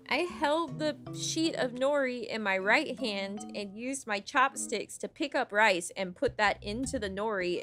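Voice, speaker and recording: A woman's voice: on the high side, sharp tone. A woman streamer chatting casually while playing a game. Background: music.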